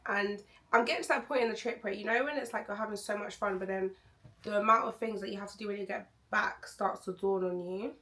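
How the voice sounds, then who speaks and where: A young woman vlogger, hoarse from losing her voice, chatting to camera in a rambling, candid way. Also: medium pitch, fairly even intonation, fast, fairly bright tone. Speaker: travel vlogger. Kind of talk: casual chat to camera.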